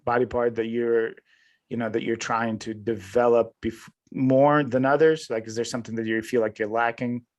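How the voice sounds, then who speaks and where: A man's voice: mid-range voice, flat delivery. A man hosting a casual interview, feeling his way through questions and often rephrasing them. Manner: halting, rephrases questions as he goes. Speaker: podcast host, interviewer.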